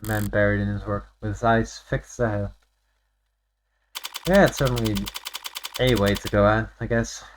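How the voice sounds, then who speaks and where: A man's voice: on the low side, fairly even intonation, slight background noise. A man reading a visual novel aloud on a live stream, stumbling and rereading lines, with offhand asides. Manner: halting reader who repeats and rereads lines. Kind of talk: live game read-aloud with off-script asides. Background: game music.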